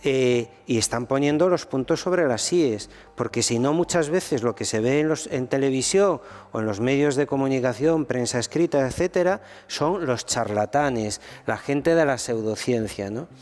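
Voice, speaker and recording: A man's voice: medium pitch, moderate pace, hardly any pauses, a little mellow, long reverb tail, faint music behind. A man, a theologian guest on a panel discussion, who talks with many restarts and fillers.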